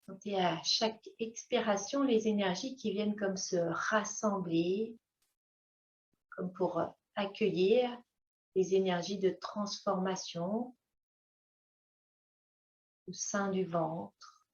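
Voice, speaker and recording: Woman yoga teacher talking thru breathing, gentle w long pauses, roomy echo and kinda dull sound. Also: medium pitch. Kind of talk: guided yoga breathing instruction. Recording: echoey room, slightly dull sound.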